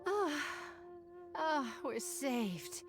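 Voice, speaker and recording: A woman's voice: sits high, expressive, extremely slow, over music, exaggerated voice. A woman voicing an overworked young clerk in a game, weary and sighing. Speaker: game character.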